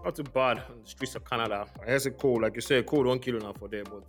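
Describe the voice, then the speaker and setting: A man hosts a podcast in loose, chatty talk and laughs easily. His voice sits at an ordinary pitch. Faint music plays under him. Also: quick pace. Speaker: podcast host. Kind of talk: casual podcast chat.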